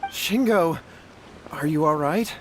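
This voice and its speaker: A man's voice: a little high, expressive, takes it slowly, a little hiss or hum, rough recording. A man voicing an earnest, apologetic brother in a video game, speaking politely. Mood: concerned, regretful. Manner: polite, grateful.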